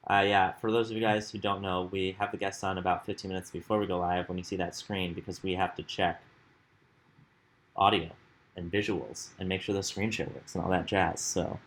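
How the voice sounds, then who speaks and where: Low-voiced man hosting a live stream. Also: little pitch movement, a little fast, poor audio. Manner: joking, upbeat banter. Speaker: stream host. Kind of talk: casual live-stream chat.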